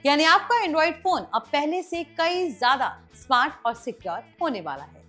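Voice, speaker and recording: A woman's voice: sits high, very animated, moderate pace, a bit bright. A woman with an Indian accent hosting tech news, explaining scripted items in a presenter's manner. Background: background music.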